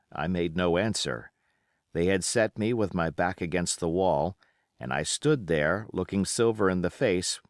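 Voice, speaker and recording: A man's voice: low-pitched, dry recording, clean studio sound. A man reading an adventure novel aloud as scripted audiobook narration. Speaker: audiobook reader.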